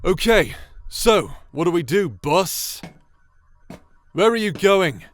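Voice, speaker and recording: A man's voice: sits high, very animated, slow pace, full character voice. A man acting in an audio roleplay as a brash, irreverent warrior with a sarcastic, teasing way of talking. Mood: exasperated. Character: brash male bastard warrior, sarcastic, teasing, foul-mouthed. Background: music.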